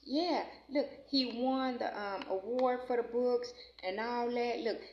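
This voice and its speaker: Woman, 40s, from Chicago, chatty vlog book reviewer, halting reading, slightly high voice, echoey muffled recording. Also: a little mellow. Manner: rambling, reads aloud haltingly with many ums. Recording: echoey room, muffled lo-fi with faint noise.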